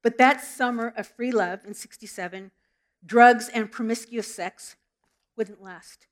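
Woman, middle-aged, from Southern California; pastor-style sermon voice, earnest storyteller, fairly high pitch. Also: a little slow. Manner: earnest, storytelling, with small restarts. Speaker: preacher. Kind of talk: sermon with prayer. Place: church sanctuary.

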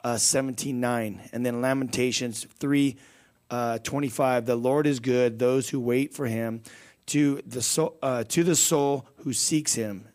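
A man's voice: mid-range voice, fairly even intonation, unhurried, a little mellow. Casual, encouraging man on faith radio. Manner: casual, encouraging, reads verses aloud. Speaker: radio show co-host.

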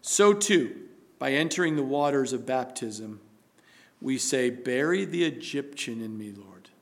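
A man preaching a sermon, building to loud emphatic stretches and dropping to a soft prayerful tone. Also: mid-range voice, animated, a little slow.

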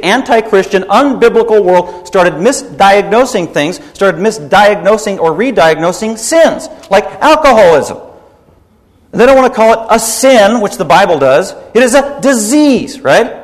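Emphatic preacher man in a reverberant hall. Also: high voice. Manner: emphatic, rebuking, repeats points for stress. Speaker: pastor. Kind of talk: sermon.

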